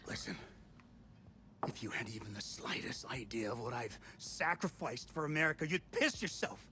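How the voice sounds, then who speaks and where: An actor playing a defensive, self-righteous older army general turned traitor, blustering in a video game. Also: male voice, mid-range voice, animated, moderate pace, background noise, close to an everyday voice. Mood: indignant, defensive. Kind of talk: video game cutscene acting.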